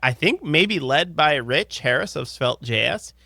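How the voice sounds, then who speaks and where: A man in his thirties co-hosting a podcast, upbeat, reading listener questions and riffing in casual chat from a home studio on a close boom mic. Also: expressive, a little slow, creaky, sharp tone, no room sound. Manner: upbeat, reads listener questions then riffs loosely. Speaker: podcast co-host. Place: home studio with headphones, indoors. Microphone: close studio mic on boom arm.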